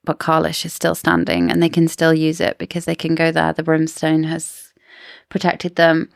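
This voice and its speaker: A woman's voice: deep voice, normal speed, creaky, dry recording, clean studio sound. A woman co-hosting a podcast, casually discussing books in an explanatory, speculative way. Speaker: podcast co-host.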